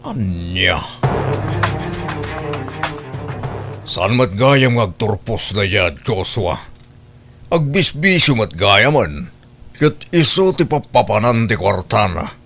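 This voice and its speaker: A man's voice: fairly low pitch, animated, slow pace, vocal fry, a bit bright, faint music behind, exaggerated voice. A man playing a stern, concerned middle-aged father in a radio drama. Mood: dismayed, angry. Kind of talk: Ilocano radio drama acting.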